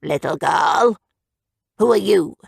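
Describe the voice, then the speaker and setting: A woman's voice: low-pitched, expressive, slow, gravelly, slightly rough, no echo, studio quality, pitched below the performer's own voice, full character voice. A woman voicing a pompous, self-assured male town orator who blusters at the crowd. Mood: scornful.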